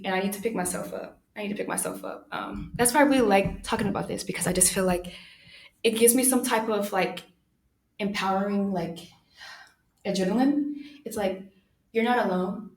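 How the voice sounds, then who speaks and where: A young woman hosting her own podcast, talking through personal thoughts in a soft, soothing voice, pausing often and using many fillers. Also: mid-range voice. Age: young adult. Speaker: podcast host. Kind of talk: reflective personal monologue.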